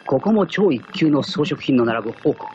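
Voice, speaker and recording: An actor playing a cocky, proud gentleman thief, narrating his own exploits theatrically in a radio drama. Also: a little high, expressive, normal speed, noisy background, natural voice. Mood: sly, composed. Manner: theatrical, self-assured storytelling. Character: cocky gentleman thief, proud self-styled genius, wry. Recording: dull lo-fi archive radio recording, loud music.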